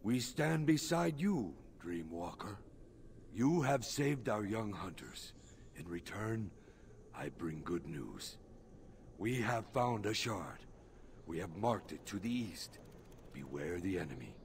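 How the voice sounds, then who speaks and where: Slow, solemn alien warrior chief. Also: male voice, low-pitched, big pitch swings, gravelly, a little hiss or hum, heavily acted. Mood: solemn, grateful. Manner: slow, formal, stately phrasing. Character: solemn male alien clan warrior leader. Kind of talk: video game cutscene acting.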